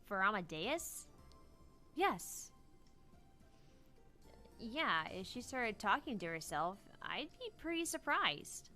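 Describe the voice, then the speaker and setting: A woman reading character dialogue aloud from a visual novel in an expressive style. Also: mid-range voice, slow, long pauses, soft background music. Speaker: let's play co-host voicing character dialogue.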